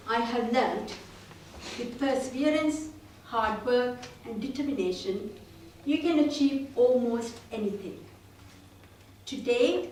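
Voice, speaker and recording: A woman's voice: fairly high pitch, a little mellow, a little hiss or hum. Woman speaking in a roomy hall. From Sri Lanka. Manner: earnest, self-deprecating storytelling. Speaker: club speaker. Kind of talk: prepared speech. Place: meeting room. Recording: roomy hall, mic at a distance.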